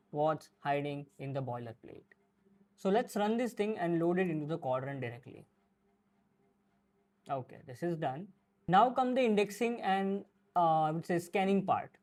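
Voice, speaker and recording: Explanatory tech tutorial voice, mid-pitched. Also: darkish tone. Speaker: tutorial presenter. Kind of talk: software tutorial walkthrough, part scripted, part improvised.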